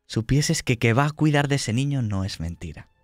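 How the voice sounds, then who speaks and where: A man acting as game master, narrating a horror scene in a deliberate, descriptive way with pauses. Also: medium pitch, lively intonation, dry recording, clean studio sound. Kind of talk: improvised tabletop horror narration.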